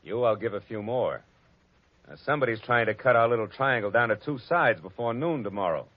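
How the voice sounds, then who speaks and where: A man's voice: medium pitch, expressive, fairly quick, lightly acted. An actor playing a wry, cynical private detective narrating his case in an old-time radio drama, with a wisecracking, sardonic manner. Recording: dull old radio recording, music behind.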